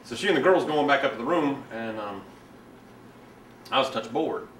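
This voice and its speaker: A man performing a dramatic monologue as a self-righteous, resentful young man, telling his story in a halting, colloquial, confiding way. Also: mid-range voice, expressive, a bit bright, natural voice. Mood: casual, confiding. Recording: echoey room, distant mic, faint noise.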